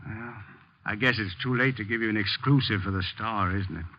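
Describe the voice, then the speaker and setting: An actor playing a shamefaced older uncle, flustered and theatrical, in a 1950s radio drama. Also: male voice, lowish voice, fairly quick, noisy background, lightly acted. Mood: sheepish. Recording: muffled old 1950s radio recording.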